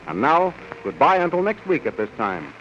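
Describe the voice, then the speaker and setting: A man's voice: on the high side, big pitch swings, normal speed, a bit bright, lo-fi sound, a little hiss or hum. An American man delivering a promotional announcement in theatrical, enthusiastic 1930s radio style on a vintage recording.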